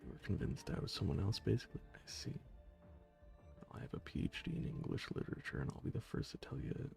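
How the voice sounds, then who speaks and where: Man, gravelly streamer voice at a mid pitch, low-key and jokey, close mic, faint music behind. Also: very animated, quick pace. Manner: low-key and soft-spoken, joking, sometimes whispering. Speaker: game streamer reading chat. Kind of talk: casual gaming stream chat.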